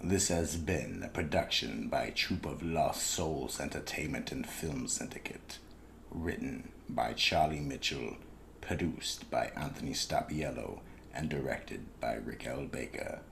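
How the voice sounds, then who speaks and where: A man's voice: a bass voice, unhurried, gravelly, a bit bright, slight background noise. A man reading scripted narration for a radio mystery drama.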